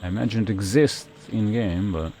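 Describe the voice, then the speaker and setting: A man's voice: lowish voice, normal speed, dark tone. A man giving casual live game commentary as a streamer, thinking aloud and muttering to himself as he plays.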